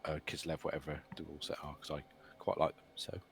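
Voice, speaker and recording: A man's voice: rumbling low, monotone, fast, gravelly, husky, dry recording. A man co-hosting a casual stream about a fantasy sports board game league, rambling and trailing off. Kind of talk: casual chat commentary.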